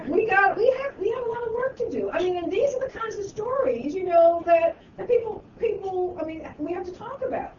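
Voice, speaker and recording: A woman teacher speaks earnestly and wanders through fillers as she tells a story. Her voice is somewhat high, with a roomy echo, far from the mic in a dull, noisy recording. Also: darkish tone. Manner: earnest, rambling with many fillers. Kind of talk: group discussion. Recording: echoey distant dull mic with noise.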